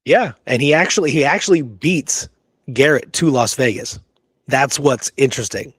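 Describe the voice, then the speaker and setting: A man's voice: medium pitch, lively intonation, normal speed, a bit bright, slightly rough, no echo. A man hosting a history show in a conversational, emphatic way, often checking in with the listener as he explains and reads out accounts. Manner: informal, emphatic, checks in with "okay?" and "you know?". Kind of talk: conversational commentary on history, reading accounts aloud.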